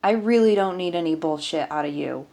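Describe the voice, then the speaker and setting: A woman's voice: low voice, brisk, warm tone. A woman voicing an irritable male military sergeant in an audiobook story, sweary, indignant and commanding. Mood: exasperated, commanding.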